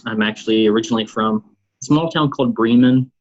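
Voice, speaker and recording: A man's voice: mid-range voice, fast talker, dull audio. A man from Kentucky, a political candidate, giving plain, short interview answers with ums.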